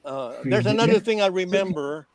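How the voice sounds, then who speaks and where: An older man with a Filipino accent reminiscing casually, halting as he recalls details and acting out quoted dialogue. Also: high-pitched, lively intonation, a crowd in the background. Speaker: former radio DJ and program director, guest on a reunion show.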